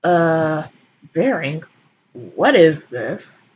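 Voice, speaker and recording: A woman voicing a laid-back, unbothered man in a fan fiction reading, with an unhurried, nonchalant manner. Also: sits low, sing-song, a little mellow, highs cut off, a little hiss or hum, full character voice. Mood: confused.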